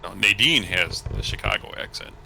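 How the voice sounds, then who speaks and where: A man's voice: deep voice, animated, moderate pace, gravelly, sharp tone, slight background noise, rough recording. A man in casual chat, teasing and joking. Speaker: livestream guest.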